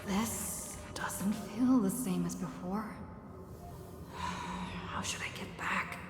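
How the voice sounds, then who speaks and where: A woman's voice: medium pitch, very slow, whispered. An actress playing a lost, uneasy female traveller in a video game, hesitant and subdued. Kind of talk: dramatic video game voice acting. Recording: echoing hall reverb under music.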